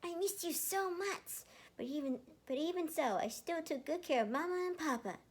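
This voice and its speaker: Woman putting on a squealing high-pitched little boy. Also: lively intonation, normal speed. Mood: excited, affectionate. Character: excited squealing little boy, affectionate younger brother. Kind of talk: amateur fanfiction read-aloud. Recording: noticeable background noise.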